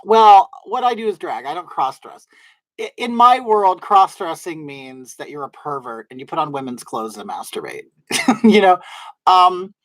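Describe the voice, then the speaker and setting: A man with a soft, feminine-sounding voice, rambling casually to his livestream viewers with lots of fillers and restarts. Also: high-pitched, no echo. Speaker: livestream host. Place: at home in drag, wig on.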